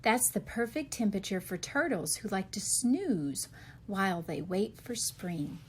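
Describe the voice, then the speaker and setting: Woman doing a kids book read-aloud, slow and swoopy, bit husky. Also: medium pitch, slight background noise. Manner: expressive question-and-answer delivery, carefully paced for children. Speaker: read-aloud reader for young children.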